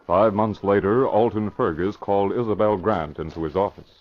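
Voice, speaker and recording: Male narrator for old-time radio drama: lowish voice, dramatic scripted delivery, music underneath. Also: normal speed. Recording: muffled old radio recording.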